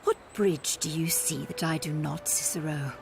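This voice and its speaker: A woman's voice: low-pitched, moderate pace, gravelly. An actress playing a proud, bitter, street-wise rebel woman in a fantasy game, cutting and commanding in her delivery. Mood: bitter, furious. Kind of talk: dramatic fantasy game voice acting. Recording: music behind the voice.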